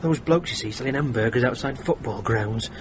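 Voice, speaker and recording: A man's voice: mid-range voice, brisk, close to an everyday voice. An actor playing a prickly, snobbish salesman who talks in a peevish, sarcastic way in a radio drama. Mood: sneering. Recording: noisy radio drama recording.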